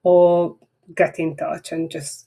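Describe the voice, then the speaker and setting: A woman speaking English with a Hungarian accent, her voice sitting a little low for a woman. She rambles in a loose, conversational way, frank and a bit self-deprecating. It sounds like a casual webinar wrap-up rather than a polished script. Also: level tone, slow, creaky, warm tone. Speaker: marketing webinar presenter.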